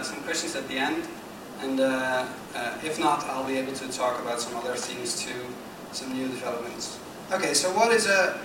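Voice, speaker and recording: Dutch man giving an echoey technical talk. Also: fairly high pitch. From Netherlands. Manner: hesitant, many fillers and restarts while explaining slides. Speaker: conference speaker. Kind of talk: technical presentation. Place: conference room. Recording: echoey hall from far off with noise.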